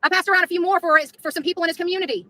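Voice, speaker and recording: A woman's voice: very high voice, steady tone, rapid-fire, bright, forceful tone, no room sound, clean studio sound. A woman on a confrontational live rant, taunting and repeating her phrases, with swearing. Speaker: activist streamer in a played clip.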